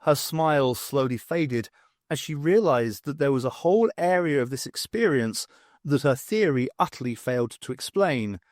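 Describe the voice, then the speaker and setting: A man reading scripted audiobook narration in an expressive storytelling manner. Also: medium pitch, moderate pace, no room sound, studio quality.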